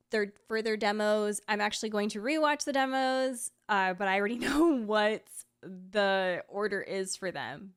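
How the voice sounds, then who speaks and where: A woman's voice: mid-range voice, a bit bright. A woman talking casually to viewers like a streamer, in a conversational way sprinkled with "like" and small laughs. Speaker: video creator and streamer presenting a sponsored ranking video. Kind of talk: casual chatty vlog talk to viewers.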